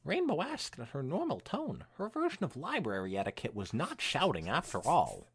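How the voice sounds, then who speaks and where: A young man hosting a podcast, reading fan fiction aloud in an animated way mixed with casual chat. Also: a little high, moderate pace, breathy edge.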